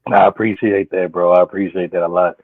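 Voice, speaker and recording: A man's voice: deep voice, flat delivery, fairly quick, no room sound. A man guest poet talking in a laid-back, grateful way while telling his story. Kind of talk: casual interview talk. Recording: phone-like, dry line.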